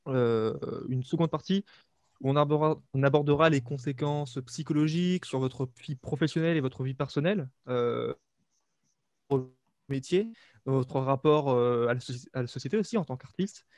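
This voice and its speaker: A young man lays out the plan for an online press conference, organized but a little hesitant. His voice is mid-pitched, and the audio is dry with no room sound. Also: moderate pace, a little mellow, no room sound. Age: young adult. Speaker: student journalist moderator. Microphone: video call mic.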